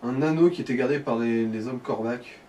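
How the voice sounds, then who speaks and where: A man's voice: mid-range voice, a little fast, mellow. A man chatting casually as he guides a player through a game, explaining from uncertain memory. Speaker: co-commentator guiding the player. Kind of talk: casual gaming chat. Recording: faint background noise.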